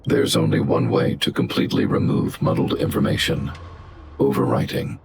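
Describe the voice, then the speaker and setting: A man playing a calm, logical robot guardian in a video game, speaking in a measured, formal, emotionless way. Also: a bass voice, gravelly, slight background noise, heavily acted. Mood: flat, analytical. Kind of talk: scripted video game voice acting.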